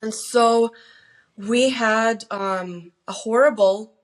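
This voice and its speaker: A woman in her forties, originally from Lithuania, speaks with a slightly high voice. She tells personal stories as a guest in a casual, animated style. She is self-deprecating and likes to act out quoted remarks. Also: slow. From Lithuania, moved to the US as a teen. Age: 40s. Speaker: guest on her daughter's show.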